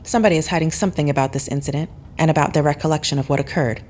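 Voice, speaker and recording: Actress as low-voiced, formal analytical woman. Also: a little mellow, a little hiss or hum, natural voice. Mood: serious, probing. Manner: measured, formal, exact. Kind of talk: audio drama acting.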